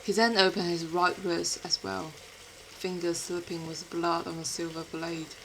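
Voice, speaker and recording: A woman who is not a native English speaker, with a British-influenced accent, reads fiction aloud in an expressive, literary storytelling manner. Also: fairly low pitch, measured pace, creaky, slight background noise, low-quality recording. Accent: British-influenced non-native English. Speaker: podfic reader. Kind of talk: dramatic scripted fiction narration.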